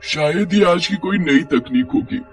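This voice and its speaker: Willful witch risen from the grave, played by a man, excitable, higher-pitched, for a horror bedtime story. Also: measured pace, faint music behind, close to an everyday voice. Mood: curious, covetous. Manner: self-absorbed, excitable.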